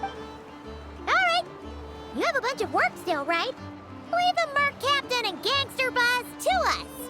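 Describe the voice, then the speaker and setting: A tiny childlike fairy sidekick from a video game, extremely high-pitched and swooping wildly. She is bold, excitable and chirpy, exclaiming often. Music runs behind the voice. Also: unhurried, exaggerated voice. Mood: confident. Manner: chirpy, exclaiming, refers to herself by name.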